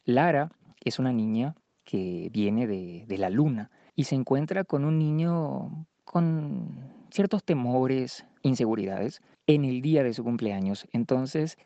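A man presenting a story as workshop coordinator, in measured, halting phrases. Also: medium pitch, mellow, no room sound. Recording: dull, dry lo-fi recording.

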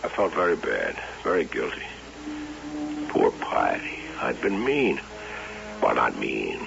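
An actor playing an obsessive, excitable carnival showman in an old-time radio drama, by turns musing and defensive. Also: rumbling low, takes it slowly, gravelly, over music, heavily acted. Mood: musing, rueful. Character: obsessive carnival showman and inventor, smitten with his wax doll. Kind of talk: old-time radio drama acting with first-person narration. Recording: muffled, hissy old radio recording.

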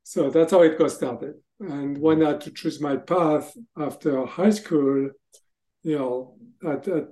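A middle-aged man from France speaks English with a clear French accent. His voice is a little higher than most men's yet dark and mellow in tone. He answers in a casual, halting way, often restarting his sentences. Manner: hesitant, restarts phrases, laughs between thoughts. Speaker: guest, tech executive.

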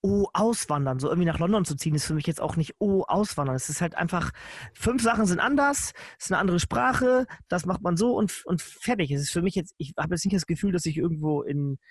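A man's voice: high voice, fairly quick, slightly rough, no echo. A young man co-hosting a casual podcast, joking and teasing, with stammered restarts. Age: young adult. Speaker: podcast co-host. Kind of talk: casual chat.

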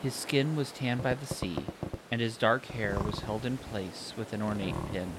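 A man's voice: lowish voice, a little slow. A man narrating a scripted fantasy audio story, with ambient sound design behind him. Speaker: narrator and author. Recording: clear background noise, ambient sound design. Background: ambient sound effects.

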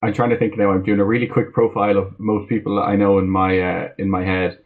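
A man's voice: on the low side, fast talker. A young Irish man, a pharmacy student, chatting casually as a guest over a video call. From Ireland. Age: young adult. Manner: relaxed, rambling with fillers. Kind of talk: casual interview chat. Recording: muffled phone-like call audio.

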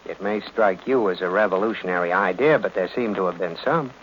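An actor playing a glib, wry detective's assistant who narrates and needles in old radio drama. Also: male voice, deep voice, fairly quick, lightly acted. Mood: needling. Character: breezy, wisecracking male assistant and narrator of detective. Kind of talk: 1940s radio acting. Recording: muffled old radio recording, faint noise.

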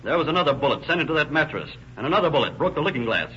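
An actor playing a probing, authoritative homicide captain in an old-time radio crime drama, questioning pointedly and briskly. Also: male voice, fairly high pitch, big pitch swings, a bit bright. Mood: accusing. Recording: muffled, noisy old radio recording.

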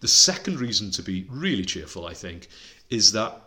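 Bright-voiced man, chatty podcaster. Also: lowish voice, sing-song, moderate pace. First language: Welsh. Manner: chatty, enthusiastic, reeling off facts and figures. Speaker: podcast co-host. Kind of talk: casual podcast chat.

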